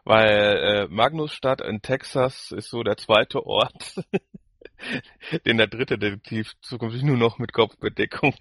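A man's voice: lowish voice, slow, fairly bright tone, breathy edge, dry recording. Man chatting on a podcast, dry sound. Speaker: podcast co-host. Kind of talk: casual review chat.

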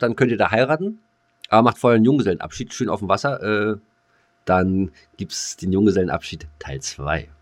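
A man co-hosting a podcast in casual, slangy banter, rambling along and joking as he talks. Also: deep voice. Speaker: podcast co-host.

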